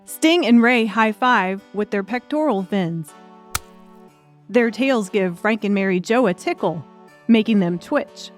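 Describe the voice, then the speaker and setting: A woman's voice: mid-range voice, lively intonation, unhurried, faint music behind. A woman reading scripted audiobook narration as the storyteller.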